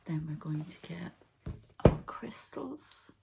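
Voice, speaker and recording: A woman softly whispering an ASMR healing ritual, breathy and halting, as if thinking aloud. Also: deep voice, expressive, very noisy. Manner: unhurried, halting, thinking aloud while handling objects. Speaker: host, energy healer and card reader.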